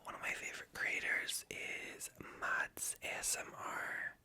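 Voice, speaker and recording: A woman with a light Irish accent whispers a breathy, self-conscious, stream-of-consciousness ASMR ramble. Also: measured pace, pushed and bright. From Ireland. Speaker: ASMR video creator.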